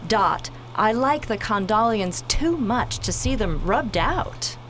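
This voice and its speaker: A woman's voice: mid-range voice, lively intonation, slow, fairly bright tone, faint music behind. A woman playing a perky, plucky, informal space crew member in a radio drama. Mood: caring.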